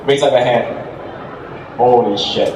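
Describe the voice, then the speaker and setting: A man's voice: medium pitch, a little slow. A man hosting a live interview at a meetup, talking in an informal, irreverent way. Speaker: event host and interviewer. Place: indoor live meetup event before an audience. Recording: echoey, noisy venue recorded from far off.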